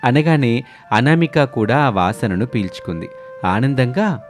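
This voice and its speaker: Male storyteller over soft music. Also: medium pitch, animated, measured pace. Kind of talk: scripted cartoon story narration. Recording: music under the voice.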